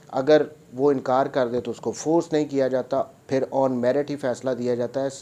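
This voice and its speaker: A man's voice: medium pitch, warm tone. A man with a Pakistani accent gives an explanatory legal lecture, didactically restating points in other words and mixing in English terms. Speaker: advocate presenting a law lecture.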